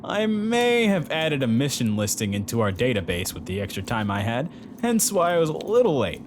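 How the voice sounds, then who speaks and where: A man's voice: wildly swooping pitch, moderate pace. A man playing an eager young military hacker in a sci-fi audio drama, casual and wisecracking. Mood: cheeky. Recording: loud vehicle rumble behind.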